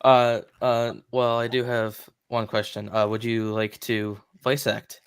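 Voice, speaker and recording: A man's voice: medium pitch, level tone, measured pace, smooth, dry recording. A man on a live stream giving brief, laid-back replies. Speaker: stream guest. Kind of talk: casual chat.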